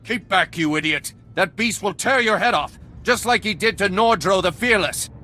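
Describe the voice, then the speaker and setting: A man's voice: high-pitched, expressive, moderate pace, bright, forceful tone, exaggerated voice. A man voicing a fierce, bombastic alien warrior in a video game, declaiming with menace. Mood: menacing, outraged. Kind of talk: video game cutscene dialogue.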